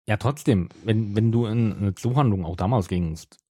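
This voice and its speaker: A man's voice: fairly low pitch, moderate pace, dark tone, husky, no echo, studio quality. A man hosting a podcast, chatting casually in an argumentative, ironic way. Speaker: podcast host. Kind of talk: casual chat.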